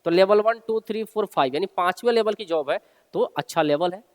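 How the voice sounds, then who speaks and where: High-pitched male coaching teacher in heavy reverb. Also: a little fast. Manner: instructive, repeats and rephrases key points for listeners. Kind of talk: informal explainer, reading out and explaining a job notice. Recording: long hall-like reverb.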